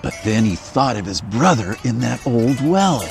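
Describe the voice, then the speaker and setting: A man reading a children's folktale aloud in a very expressive, playful storyteller manner. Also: medium pitch, a little slow, vocal fry, over music.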